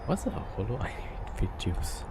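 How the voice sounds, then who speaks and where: A man's voice: lowish voice, wildly swooping pitch, normal speed, heavy background noise. A man from Indonesia, casually chatting with viewers and answering their questions while he streams a space game. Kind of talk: casual gameplay chat with viewers. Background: game music.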